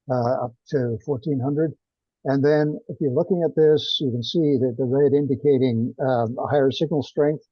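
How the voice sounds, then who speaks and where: A man giving an informal technical presentation on a conference call, with hesitant, halting delivery. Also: mid-range voice, no room sound. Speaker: presenter of research findings. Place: teleconference. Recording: dull, dry, band-limited conference call.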